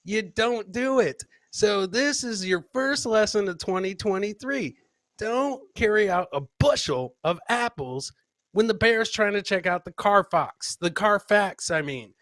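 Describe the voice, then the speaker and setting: Guy doing a comedy podcast, kinda high bright voice, loud slangy riffing on clips, close dry mic. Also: expressive, a little slow, no echo. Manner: boisterous, slangy, repeats catchphrases. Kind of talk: casual live commentary on funny clips.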